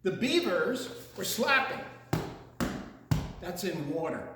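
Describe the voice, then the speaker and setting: High-pitched male storyteller in an echoey room. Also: very animated, very noisy. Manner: playful, drawn-out words with sung phrases and chatty asides. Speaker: children's storyteller. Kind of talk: animated rhyming story time reading with animal noises.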